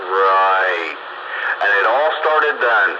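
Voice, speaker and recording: A man's voice: low voice, animated, drawn-out words. Man, blunt and coarse, casual political talk; lo-fi radio-style sound with heavy noise. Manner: blunt, salty language. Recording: muffled, noisy radio-style audio.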